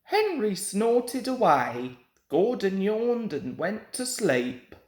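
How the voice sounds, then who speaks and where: A young man reads a children's storybook with plenty of expression. His delivery is unhurried and very varied in pitch. The room adds a noticeable echo to his voice. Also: wildly swooping pitch. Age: young adult. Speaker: storybook reader. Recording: roomy home recording with echo.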